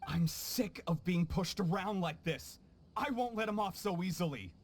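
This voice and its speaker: Man playing a fed-up, high-voiced merchant. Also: normal speed, soft background music, natural voice. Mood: frustrated. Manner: aggrieved, indignant. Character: fed-up, hot-headed male foreign merchant. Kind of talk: English video game dub acting.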